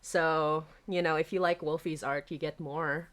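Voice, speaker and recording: A woman hosting a casual livestream chat, excitable and giggly, often repeating phrases for emphasis. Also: deep voice, unhurried. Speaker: podcast creator and stream host.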